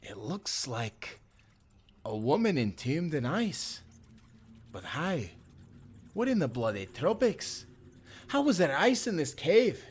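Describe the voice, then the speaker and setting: A man's voice: a little high, very animated, slow pace, long pauses, breathy edge, full character voice. Man playing earnest timberman sailor. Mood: awed, wary. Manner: earnest, old-time seafaring speech. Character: kind, principled male timberman sailor of the 1790s. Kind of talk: cinematic audio roleplay.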